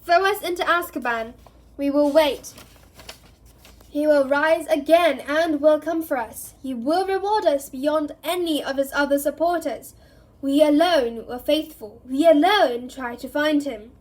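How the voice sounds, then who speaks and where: A woman voicing a fanatical dark witch who calls out loudly and defiantly. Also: sits high, a little slow, voice pushed up. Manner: loud, defiant calling out.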